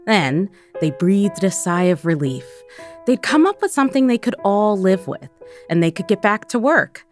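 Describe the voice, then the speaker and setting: A woman hosting a podcast, reading scripted narration with a clear storytelling delivery. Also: medium pitch. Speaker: podcast host.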